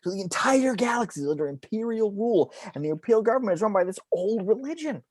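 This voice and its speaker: A man's voice: high-pitched, sing-song, fast talker, darkish tone, no echo. A man acting a fervent, conspiratorial theorist who lectures emphatically with rhetorical questions in a read-through of a film script. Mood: fervent, lecturing. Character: fervent, conspiratorial male ranter with a pet theory.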